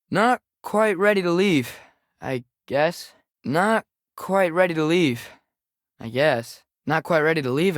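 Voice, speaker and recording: A restless teenage boy adventurer played by a young man speaks in a fairly high voice with an American accent. His delivery is casual, hesitant and understated, like a companion in a video game. The sound is very dry, with no room on it. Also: no echo, close to an everyday voice. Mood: resigned. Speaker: game character voice actor.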